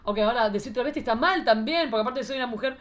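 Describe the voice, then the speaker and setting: A woman's voice: a little high, very fast. A woman with an Argentine accent hosting a casual chat in a playful, joking, theatrical manner. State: pregnant. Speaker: podcast host.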